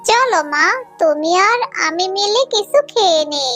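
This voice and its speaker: Little cartoon fox girl: extremely high, bright, trusting, childlike, music underneath. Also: expressive, unhurried, exaggerated voice. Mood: eager. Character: young fox girl, tearful, trusting, eager to help.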